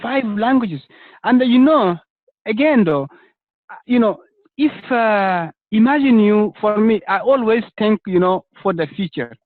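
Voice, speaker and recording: A man's voice: high voice, lively intonation, measured pace, dry recording. A man in a casual online group chat, speaking earnestly with many "you know" fillers. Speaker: chat participant. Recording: dry tinny phone-like call audio.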